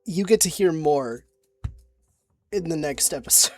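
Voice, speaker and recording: A young woman chatting casually about her tabletop game character, halting with many restarts and little giggles. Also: deep voice, expressive, darkish tone, no echo, faint music behind. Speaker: player recounting a tabletop campaign. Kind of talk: casual rambling chat.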